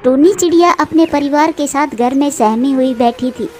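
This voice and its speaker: A woman's voice: high voice, normal speed, noisy background. A woman narrator reading a scripted children's moral story for a cartoon.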